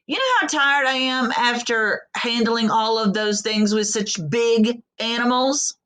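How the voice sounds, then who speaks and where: A woman's voice: a little high, steady tone, a little slow, fairly bright tone, pitched above the performer's own voice. A woman voicing an exhausted storybook crayon, griping in an emphatic, put-upon way.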